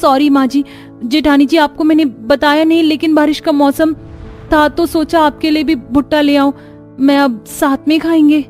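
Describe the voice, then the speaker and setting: High female voice, woman acting a gentle young cartoon daughter-in-law, earnest, pleading, music underneath. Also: steady tone, normal speed, close to an everyday voice. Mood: apologetic, eager. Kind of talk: Hindi cartoon story dialogue.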